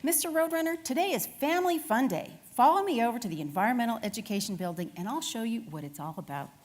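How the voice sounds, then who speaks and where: A woman's voice: on the high side, animated, quick pace, darkish tone. A woman voicing a friendly, cheerful sheep mascot in a children's storybook, polite and upbeat.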